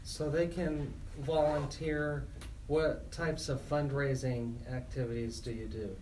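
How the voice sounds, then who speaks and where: Guy hosting radio interview, mid voice, halting phrasing, echoey and noisy. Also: mid-range voice, steady tone, darkish tone. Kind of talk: live radio interview questioning. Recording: echoey room, distant mic, noisy.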